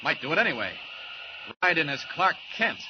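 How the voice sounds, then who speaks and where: An actor playing a confident, determined superhero in a 1940s radio drama, thinking aloud in brisk, clipped phrases. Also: male voice, on the high side, sing-song. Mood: watchful. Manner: clipped phrases, resolute self-talk. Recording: muffled, very noisy 1940 radio recording.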